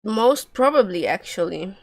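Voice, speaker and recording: Slow-paced woman streaming a game. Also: mid-range voice, expressive. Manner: sweary, slangy. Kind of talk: casual live gameplay commentary.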